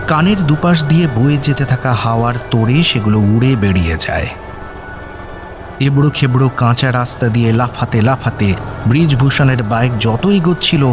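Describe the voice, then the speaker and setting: A man narrating an audio story in a dramatic, scripted style. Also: mid-range voice. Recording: music bed under narration.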